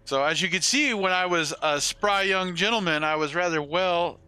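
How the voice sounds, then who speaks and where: A man's voice: high-pitched, normal speed, pushed and bright, smooth. A man in his forties chatting casually and rambling with some salty swearing as he streams a game. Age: 40s. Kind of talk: casual live-stream chat with gameplay commentary.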